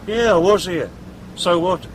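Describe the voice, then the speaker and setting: A British actor playing a shifty, evasive car park manager in a radio drama, talking defensively and colloquially. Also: male voice, high-pitched, lively intonation, a little slow, slight background noise, low-quality recording. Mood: surly, defiant. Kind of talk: broadcast radio drama acting.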